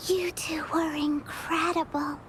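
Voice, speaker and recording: A woman's voice: sits high, little pitch movement, slow, fairly bright tone, slight background noise. A woman voicing a sweet, timid small child who scares easily, in an anime-style video game. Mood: curious.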